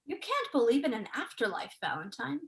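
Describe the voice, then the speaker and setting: A young woman student actor playing a sharp, unsentimental, sceptical scholar in a staged play reading. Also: a little high, very animated, a little fast. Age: young adult.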